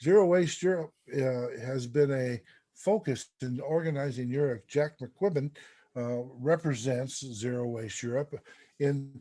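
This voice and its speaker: A man with a dark, mellow voice at a middling pitch introduces a conference speaker as host. He talks deliberately, with halting phrases.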